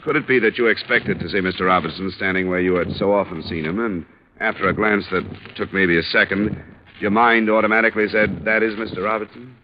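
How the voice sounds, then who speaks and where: Brief: male actor as a deep-voiced, fast, dry old-time radio gumshoe; muffled broadcast, music bed. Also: steady tone, slight background noise, natural voice. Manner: dry, laconic, probing questions. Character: wry hard-boiled male insurance investigator, middle-aged. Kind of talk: 1950s radio drama acting.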